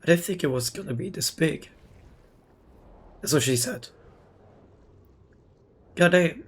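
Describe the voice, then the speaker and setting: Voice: medium pitch, expressive, slow pace, slightly rough. A game streamer muttering casual, offhand reactions to themselves during a playthrough. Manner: offhand, sparse, self-talk.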